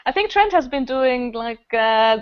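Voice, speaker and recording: Clear, higher-pitched woman, enthusiastic. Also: animated, measured pace, dry recording. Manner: enthusiastic, rambling. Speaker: festival organiser, podcast guest. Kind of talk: casual podcast chat. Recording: dull lo-fi podcast recording.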